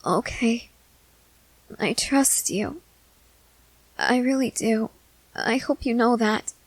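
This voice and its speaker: A woman playing a timid, gentle newly turned vampire in an ASMR roleplay, speaking hesitantly and haltingly. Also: fairly high pitch, no echo, rough recording. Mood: trusting, nervous. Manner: hesitant, halting, soft-hearted.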